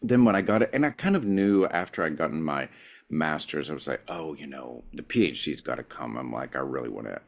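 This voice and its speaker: A man's voice: a bass voice, a little fast, gravelly. A middle-aged man with an East Tennessee Southern accent, chatting casually as a podcast guest and acting out his own remarks as he tells stories. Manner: animated storyteller who acts out his own quotes. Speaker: marketing professor, podcast guest. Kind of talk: casual conversation.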